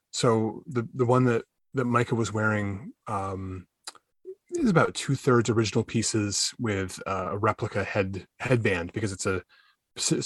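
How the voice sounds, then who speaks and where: A man's voice: on the low side, dry recording. A man giving detailed, informal explanations in a casual roundtable chat. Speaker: podcast team member.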